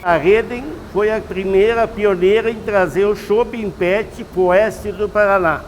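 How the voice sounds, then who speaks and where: A man, a master brewer explaining his craft in an unhurried, folksy, instructive way. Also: high voice, fairly even intonation, long reverb tail, slight background noise, poor audio. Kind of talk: explanatory interview answers.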